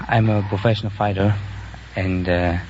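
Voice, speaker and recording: A young man from Germany with a German accent, answering earnestly and haltingly as a quiz contestant. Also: lowish voice, fairly even intonation, darkish tone. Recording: dull, noisy vintage radio recording.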